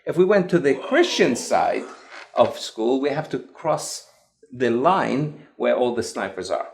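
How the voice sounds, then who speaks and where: A man from Lebanon with an English accent, a guest recounting his past in an interview with animated, emphatic delivery. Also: medium pitch, measured pace, creaky. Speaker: guest, evangelist. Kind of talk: interview storytelling.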